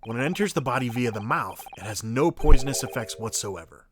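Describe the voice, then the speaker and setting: A man's voice: mid-range voice, expressive, fairly quick, background noise, natural voice. A man playing a nervous, earnest young defense lawyer in a video game dub. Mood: explaining. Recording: music under the voice.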